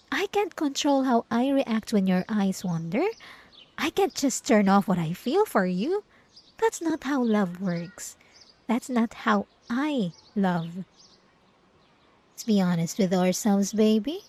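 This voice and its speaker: Slow, possessive yandere ex-girlfriend, acted by a woman. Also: fairly high pitch, dark tone. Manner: drawn-out, cooing, condescending endearments. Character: possessive, obsessive yandere ex-girlfriend, sweetly menacing and gaslighting. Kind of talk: ASMR roleplay monologue to the listener.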